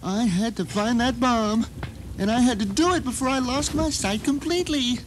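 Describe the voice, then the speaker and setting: A man's voice: very high-pitched, normal speed. A man playing a self-satisfied novelist trapped at home, narrating his grim ordeal dramatically in an audio drama. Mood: queasy, desperate. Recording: noisy 1990 radio drama recording.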